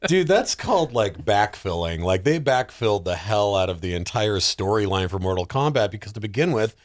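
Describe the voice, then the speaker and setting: A man's voice: lowish voice, expressive, normal speed. A man chatting casually as a podcast panelist, jokey and prone to acting out imagined quotes. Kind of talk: casual chat.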